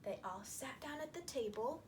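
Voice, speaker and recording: A woman's voice: high-pitched, expressive, a little hiss or hum. A woman telling a story to young children with puppets, repeating and emphasizing phrases as she explains. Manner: slow, repetitive, emphatic, explaining to small children.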